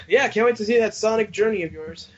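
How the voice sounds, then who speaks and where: A man's voice: sits high, brisk. A man bantering casually on a podcast in a goofy, sarcastic way, over a dull lo-fi voice chat. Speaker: podcast host or co-host.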